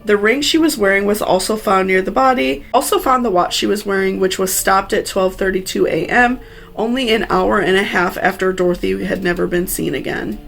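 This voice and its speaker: A woman's voice: mid-range voice, brisk, vocal fry, a bit bright. A woman hosting a true crime story, reading scripted narration with personal asides in an earnest, sympathetic, cautionary way.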